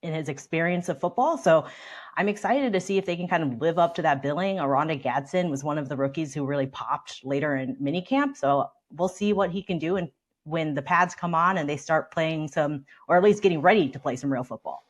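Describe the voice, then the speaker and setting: A woman's voice: low voice, fairly quick. An American woman giving casual sports analysis as a guest reporter on a panel.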